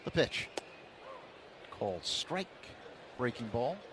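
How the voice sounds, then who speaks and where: A man calling baseball play-by-play on the radio in a steady, measured way. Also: mid-range voice, a little hiss or hum. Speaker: play-by-play announcer.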